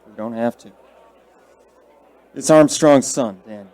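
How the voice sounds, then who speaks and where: An actor playing a steady, folksy, plain-spoken small-town sheriff in a radio drama. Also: male voice, medium pitch, measured pace, some chatter behind, lightly acted. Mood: pondering, authoritative.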